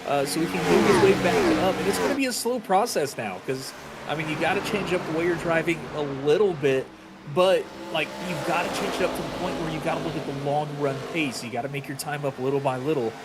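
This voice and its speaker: A man's voice: on the high side, quick pace. A man giving conversational motorsport analysis as the co-commentator on a race broadcast. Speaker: racing co-commentator. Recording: loud engine and vehicle noise.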